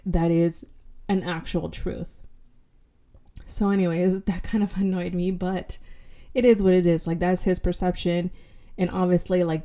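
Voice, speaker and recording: A woman's voice: on the low side, dark tone. A woman hosting her own podcast, still congested from an illness, talking candidly and bluntly with casual swearing and many fillers. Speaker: podcast host. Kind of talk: casual solo podcast monologue.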